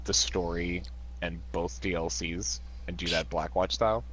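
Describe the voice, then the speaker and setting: A man's voice: sits low, fairly even intonation, normal speed, noisy background. A man chatting casually with friends before a game, laid-back and joking, with some swearing. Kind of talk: casual chat.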